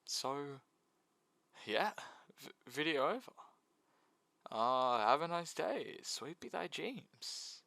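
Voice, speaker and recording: A man's voice: a little high, very animated, slow pace, vocal fry, long pauses, bright, forceful tone, no echo. A man giving a casual, jokey video essay about games, with mock sincerity.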